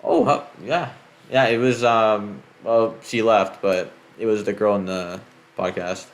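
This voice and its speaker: A man's voice: lowish voice, measured pace. Man, podcast host, casual rambling chat, swears, lots of fillers.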